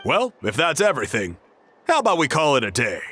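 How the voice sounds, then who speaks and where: A man's voice: mid-range voice, huge pitch swings, a little fast, pushed and bright, soft background music. A man playing a spirited, competitive warrior chief in a video game. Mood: keen.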